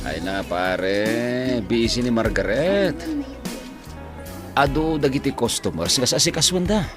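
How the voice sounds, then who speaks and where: Guy playing exasperated drinking pal in ilocano radio drama, voice swooping around, music clearly behind. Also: medium pitch, unhurried, close to an everyday voice. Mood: admonishing. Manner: exclaiming, expressive. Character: sensible male drinking buddy, exasperated, urging restraint.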